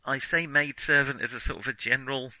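Bright, crisp-edged man discussing films, haltingly. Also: mid-range voice, no room sound, low-quality recording. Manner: halting, digressive, thinking aloud. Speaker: film podcast co-host. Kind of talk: casual film review discussion.

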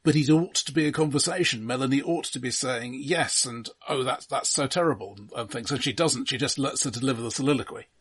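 A man co-hosting a casual film discussion, explaining analytically in a halting way, often repeating words. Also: mid-range voice, fairly bright tone, breathy edge. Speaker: podcast co-host.

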